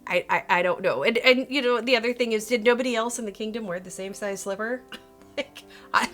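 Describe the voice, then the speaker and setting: A woman's voice: on the high side, brisk, fairly bright tone, soft background music. Woman telling stories with sarcastic asides. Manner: sarcastic, self-interrupting, with playful asides. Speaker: video host and storyteller. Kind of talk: casual, comedic storytelling to viewers.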